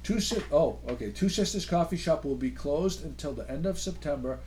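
A man's voice: a little high, animated, brisk, darkish tone, slight background noise. A man hosting a local radio chat show, easygoing and joking, with lots of fillers and trailing-off sentences. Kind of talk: casual chat.

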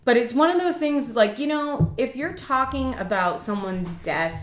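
A woman's voice: on the high side, expressive, moderate pace, roomy sound, a little hiss or hum. A woman co-hosting a casual chat, talking candidly and bluntly, swearing at times.

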